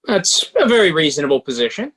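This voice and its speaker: A man playing a pragmatic, smooth-talking banker who coolly advises and persuades, in a table read of a stage musical. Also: fairly high pitch, sing-song, fast, fairly bright tone. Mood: courteous, measured.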